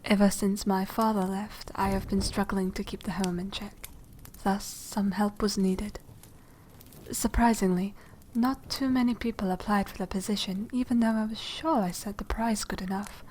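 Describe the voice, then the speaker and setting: A woman playing a polite, secretive young noble vampire lady in a soft, half-whispered ASMR roleplay, speaking formally and with measured care. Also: medium pitch, steady tone, a little hiss or hum, close to an everyday voice, rough recording. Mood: courteous, reserved. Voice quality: soft, breathy whispering edge. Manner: hushed, formal, measured, genteel.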